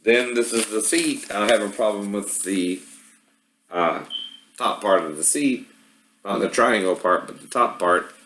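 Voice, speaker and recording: An echoey home-video recording of a man with a fairly low voice. He talks casually and rambles through a product demonstration like a vlogger. He keeps checking in with a short "right?" at the end of his statements. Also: measured pace, background noise. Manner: often tags statements with "right?". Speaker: vlogger showing product assembly problems.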